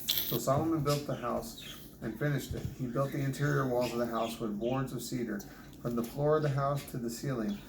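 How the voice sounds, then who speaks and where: A man's voice: mid-range voice, steady tone, a little fast. A man reading scripture aloud as a Bible reader, sometimes stumbling and rereading words. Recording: noisy, echoey home recording with clicks.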